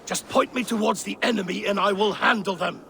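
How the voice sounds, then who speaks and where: Belligerent storm god, very rough gravelly voice, high for a man, crude blustering, video game drama. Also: brisk, sharp tone, a little hiss or hum, exaggerated voice. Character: brash hot-tempered warrior god of storms, male.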